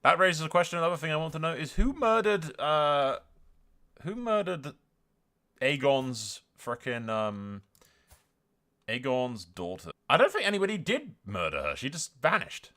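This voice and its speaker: A man hosts casual game commentary in a lively, swinging voice with a bright, forceful edge. He is informal and wry, swearing freely as he jokes along. Faint music plays underneath. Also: on the high side, measured pace. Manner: informal, sweary, wryly joking. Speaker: video game streamer, host.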